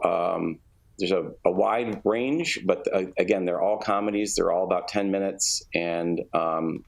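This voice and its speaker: Low, creaky-voiced man explaining in an interview. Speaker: theatre artistic director, interview guest.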